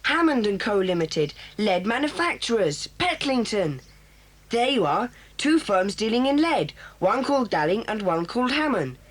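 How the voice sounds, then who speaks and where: A woman voicing a decisive boy who leads a children's club in an audio drama, briskly taking charge. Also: mid-range voice, sing-song.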